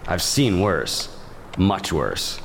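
An actor playing a weary, hardened bounty hunter on the frontier, curt and threatening. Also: male voice, low voice, big pitch swings, very slow, creaky, slight background noise, heavily acted. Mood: gruff. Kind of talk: western horror audio drama acting.

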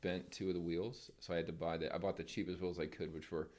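Middle-aged veteran guest, very low voice, measured and firm. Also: male voice, level tone, a little mellow. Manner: measured, plain-spoken, firm opinions. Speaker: podcast guest, military veteran. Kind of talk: casual interview chat.

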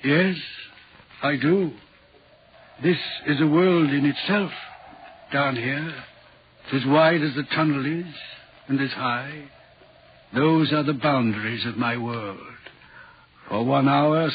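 An actor playing a lonely, brooding railway signalman in a 1940s radio horror drama, speaking in a grave, halting, guarded way. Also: mid-range voice, husky. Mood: brooding, troubled. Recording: muffled, noisy 1940s radio recording.

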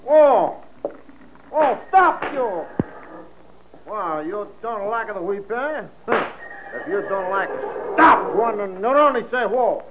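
An actor playing a hot-tempered, lovestruck vegetable farmer who speaks broken English, in a radio play. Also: male voice, sits high, expressive, slow, background noise. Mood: cheerful. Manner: broken English, impulsive and gushing. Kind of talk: 1940s radio acting. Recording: muffled old radio recording, faint music.

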